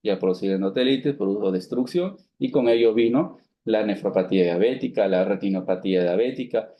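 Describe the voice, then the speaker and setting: A man's voice: on the low side, a little fast, highs cut off. A man in his thirties from Peru giving a medical lecture to students, explaining step by step, asking and answering his own questions, with many fillers and restarts. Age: 30s. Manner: many fillers like digamos and esto, frequent restarts. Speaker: physician lecturer.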